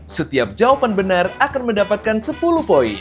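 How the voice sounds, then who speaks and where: A man's voice: high voice, wildly swooping pitch, brisk. A man hosting a quiz show in a playful, emphatic announcing manner. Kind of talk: lively game show hosting. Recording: music behind the voice.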